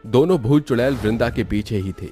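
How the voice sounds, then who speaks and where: A man's voice: mid-range voice, a little fast, mellow, husky, faint music behind. A man giving scripted narration for a horror cartoon story.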